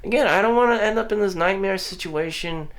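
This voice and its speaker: Rambling man with a higher voice. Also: a little fast, a bit bright. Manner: meandering, frequent "you know" fillers and restarts; blunt, swears casually. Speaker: vlogger. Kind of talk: rambling personal monologue. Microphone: audio-only recording.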